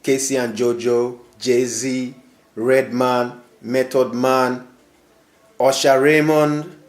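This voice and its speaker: A male host puts questions to his guest in formal, somewhat flowery phrasing. His voice has a middle pitch. It rings in an echoey room. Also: level tone, drawn-out words. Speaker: interviewer, host.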